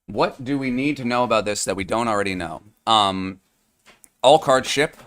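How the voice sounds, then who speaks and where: A young adult man with a hoarse, fading voice, hosting a live card-opening stream in a chatty, upbeat way with lots of fillers. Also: lowish voice, a bit bright. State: hoarse, losing his voice. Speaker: live card-break host. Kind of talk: livestream sales chat.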